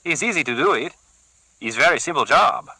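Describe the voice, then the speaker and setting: A man's voice: on the high side, animated, a little fast, sharp tone, dry recording, voice pushed up, heavily acted, low-quality recording. A man voicing an eager, dim-witted Puerto Rican thug who talks pushily in broken English with a Puerto Rican Spanish accent.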